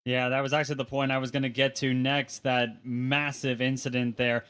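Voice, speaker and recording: A man's voice: mid-range voice, flat delivery, moderate pace, a bit bright, clean tone. A man co-commentating a race broadcast and asking long, rambling interview questions full of fillers. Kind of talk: sim racing broadcast commentary.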